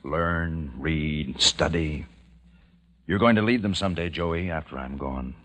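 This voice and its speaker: A man's voice: a bass voice, measured pace, fairly bright tone. An actor playing a weary, grieving man who leads a few survivors, in a solemn, dramatic old-time radio style. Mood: gentle, earnest. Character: weary, grieving male survivor leading a small community. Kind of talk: old-time radio acting with first-person narration. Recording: old radio recording with music behind.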